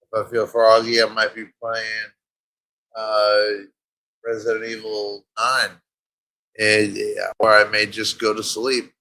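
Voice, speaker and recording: A man's voice: on the low side, flat delivery, takes it slowly, pushed and bright, rough recording. A man hosting his own show, chatting casually in a rambling, laid-back way. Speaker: show host. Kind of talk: casual rambling stream talk.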